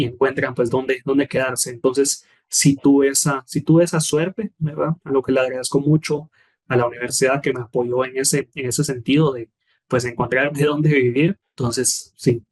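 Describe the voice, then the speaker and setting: A young man with a Guatemalan accent speaks at a middle pitch, calm and unhurried. He often repeats words as he finds his footing. He closes his points with a small tag question. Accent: Guatemalan Spanish. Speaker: guest, former scholarship student. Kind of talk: relaxed interview answers.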